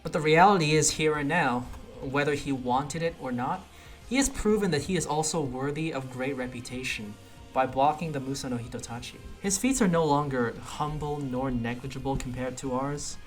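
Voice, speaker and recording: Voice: medium pitch, normal speed. A casual, conversational, opinionated video game reviewer giving improvised commentary on a quest. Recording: voice over background music. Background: music.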